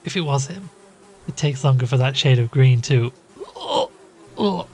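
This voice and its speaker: A man's voice: mid-range voice, expressive, normal speed, creaky, dark tone. A man playing an uneasy, wryly weary investigator in an audio drama, speaking hesitantly. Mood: queasy. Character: uneasy male investigator narrating his case, reluctant and wry. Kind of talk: audio drama dialogue and first-person narration.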